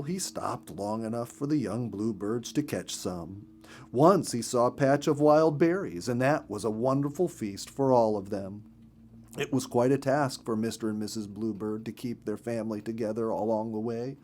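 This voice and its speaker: A man reading a children's story as narrator in an expressive, gentle storytelling manner. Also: medium pitch.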